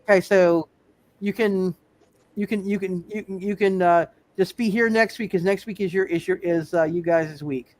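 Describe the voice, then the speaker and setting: A man running a tabletop superhero game as game master, talking casually and haltingly, often repeating words and phrases as he works out the rules. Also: high-pitched, fairly even intonation.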